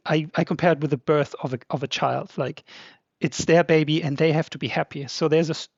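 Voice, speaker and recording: A man's voice: fairly high pitch, creaky, slightly rough, no echo, muffled recording. Chatty man, English not his first language. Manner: chatty, many "like" fillers. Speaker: podcast guest, translator and publisher. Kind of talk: casual conversation.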